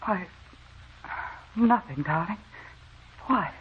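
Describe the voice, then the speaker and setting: Haunted young wife in muffled radio melodrama. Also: female voice, fairly low pitch, lively intonation, breathy edge, full character voice. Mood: nervous denial. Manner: dramatic, slow-building narration. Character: haunted, devoted young wife confessing a secret. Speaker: actor in a radio horror drama. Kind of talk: old-time radio melodrama. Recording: muffled, noisy old radio recording.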